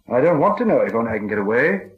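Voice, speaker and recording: A man's voice: medium pitch, sing-song, very fast, lo-fi sound, natural voice. A man voicing a frightened, polite small hobbit who is anxious to agree.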